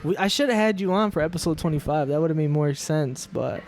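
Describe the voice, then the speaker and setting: A man's voice: on the high side, fairly quick, a little mellow. A young man hosting a podcast, chatting casually with a friendly, teasing manner. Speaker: podcast host.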